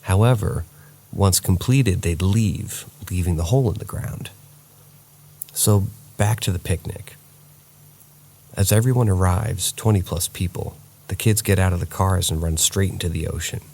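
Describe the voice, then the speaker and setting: A man narrating true paranormal stories in a steady, somber reading voice. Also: low voice, measured pace, vocal fry, slight background noise.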